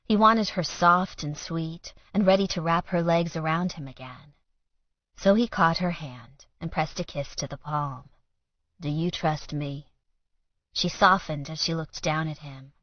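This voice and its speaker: Low-voiced woman narrating fiction, dry sound. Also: unhurried, no echo, lo-fi sound. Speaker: audiobook narrator.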